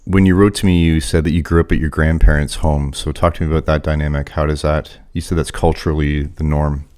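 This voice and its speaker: A man hosting a podcast, speaking in a measured, earnest way as he introduces and questions a guest. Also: very deep voice, level tone, a little mellow. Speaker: podcast host. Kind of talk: scripted monologue and interview questions.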